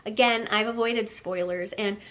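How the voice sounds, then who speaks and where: Female book vlogger, casual and chatty, nasal from a cold, mid-pitched. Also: fairly even intonation, normal speed, fairly bright tone, poor audio. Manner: chatty, lots of fillers and light laughs. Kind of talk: casual book haul chat.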